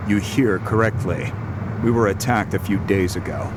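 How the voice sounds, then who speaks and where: A man acts out a gruff, talkative recruiter from an action game. His voice sits low, with a wry, matter-of-fact delivery. Clear ambient noise surrounds him. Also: normal speed, natural voice. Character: gruff, chatty male security recruiter, sizing people up. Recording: noisy outdoor ambience behind.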